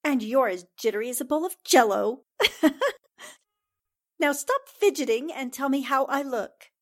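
An actress plays a young newspaperwoman in an old-time radio drama. Her voice is high and melodic, full of swings, and she banters in a poised, breezy, good-humored way. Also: animated, moderate pace. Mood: teasing. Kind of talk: 1940s radio drama acting.

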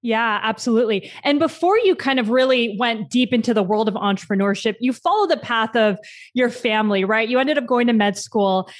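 A woman's voice: fairly high pitch, animated, brisk. A woman hosting a podcast, introducing her guest and asking questions with eager enthusiasm. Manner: warmly enthusiastic, scripted intro then chatty questions. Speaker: podcast host. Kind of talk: podcast interview.

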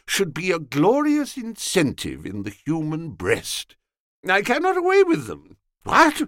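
A man's voice: fairly bright tone, no echo, clean studio sound, heavily acted. A man acts an earnest young surgeon from a literary storybook. He orates pompously and indignantly in lofty phrases. His raised voice swoops very widely. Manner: pompous, high-flown oratory.

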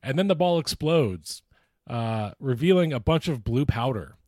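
A man with a mid-pitched voice talks casually as a podcast host, joking about sports. He is mocking and freely profane, and the sound is close and dry. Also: lively intonation, normal speed, a little mellow, no echo. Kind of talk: casual comedic sports chat.

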